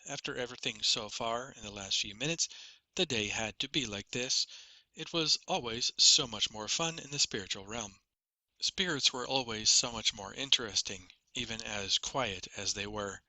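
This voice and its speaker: Guy narrating his fantasy audiobook, really bright sharp voice, super dry close sound. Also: lowish voice, moderate pace, no room sound. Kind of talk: scripted first-person story narration.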